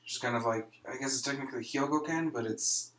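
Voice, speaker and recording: A young man from Seattle in his twenties, a podcast guest speaking in a laid-back, dry, halting way. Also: mid-range voice, a bit bright. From Seattle, USA. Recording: echoey room.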